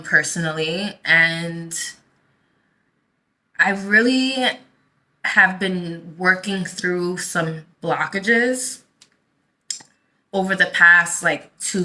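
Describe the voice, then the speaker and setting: A woman from the Dominican Republic talking casually to her viewers as a tarot channel host, in a halting, rambling way as she thinks aloud. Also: lowish voice, pushed and bright. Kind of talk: casual vlog talk to viewers.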